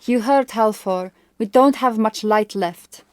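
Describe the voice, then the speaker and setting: A woman's voice: on the high side, measured pace, a little mellow, no echo, lightly acted. A woman voicing a practical, sceptical, short-tempered Viking warrior woman in an audiobook. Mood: impatient. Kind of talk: audiobook character acting.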